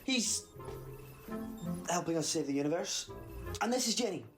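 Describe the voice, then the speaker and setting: A man playing a quirky, upbeat time-travelling alien hero with a breezy, excitable manner in a sci-fi audio drama. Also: high voice, moderate pace, over music.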